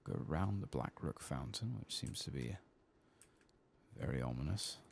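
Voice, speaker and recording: Male ASMR gaming host: low, creaky, hushed and easygoing, muttering through play, faint background noise. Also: normal speed. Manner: quiet, relaxed, murmuring to himself. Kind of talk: soft-spoken ASMR game playthrough commentary.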